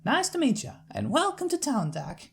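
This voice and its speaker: A woman's voice: fairly high pitch, huge pitch swings, normal speed, creaky, breathy edge, pitched above the performer's own voice, heavily acted. A woman voicing a friendly, plain-spoken young country mare with an American Southern accent and a folksy, hearty manner. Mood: friendly welcoming.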